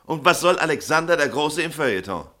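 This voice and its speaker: An actor playing a cynical, bossy veteran newspaper editor of middle age in a German radio play, with a sardonic, needling manner. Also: male voice, on the high side, expressive, fairly quick, pushed and bright. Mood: incredulous, probing. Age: middle-aged.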